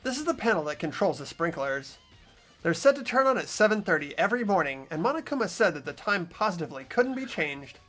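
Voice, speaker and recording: A man's voice: high voice, very animated, a little fast, a bit bright, faint music behind, exaggerated voice. A man voicing an earnest, puzzled teenage boy who thinks aloud while investigating in a visual novel game. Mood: thoughtful. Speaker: amateur voice actor in a fan playthrough. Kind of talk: fan-dubbed visual novel acting and narration.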